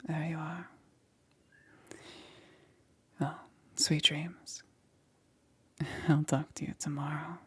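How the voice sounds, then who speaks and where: A woman voicing a caring, gently persuasive witch in a hushed, breathy ASMR roleplay. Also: low voice, flat delivery, slow pace, exaggerated voice. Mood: tender, soothing. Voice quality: breathy, whispery. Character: soft, coaxing witch, a caring self-confessed monster.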